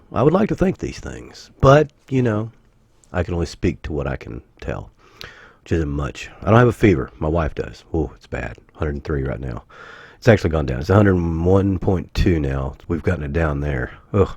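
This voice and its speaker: Husky man getting over the flu. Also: very deep voice, darkish tone, no echo, muffled recording. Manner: rambling, self-correcting, joking asides. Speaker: YouTube gaming channel host. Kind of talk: casual anniversary vlog talk.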